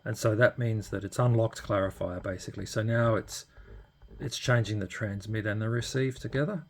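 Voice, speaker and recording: A man's voice: lowish voice, normal speed. A man giving a casual, unscripted hands-on demonstration, hesitant and thinking aloud as he goes. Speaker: hobbyist demonstrating radio equipment.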